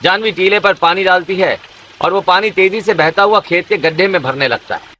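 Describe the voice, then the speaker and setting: A man's voice: high-pitched, moderate pace, bright, forceful tone, slight background noise. A man narrating a scripted cartoon village story as a storyteller.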